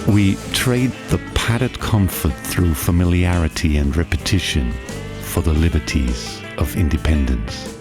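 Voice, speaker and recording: A man from Austria, narrating a reflective travel voice-over and asking slow, musing questions. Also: low voice. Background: guitar music.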